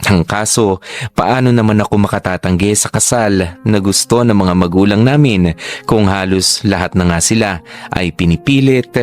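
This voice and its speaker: A man's voice: lowish voice, moderate pace, soft background music. A man giving scripted, sentimental dramatic narration as the letter reader in a Filipino radio drama.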